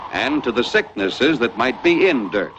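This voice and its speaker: A man's voice: medium pitch, lively intonation, moderate pace, noisy background. A man narrating a scripted 1940s educational film in a didactic, folksy storytelling manner. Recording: dull vintage 1940s film soundtrack.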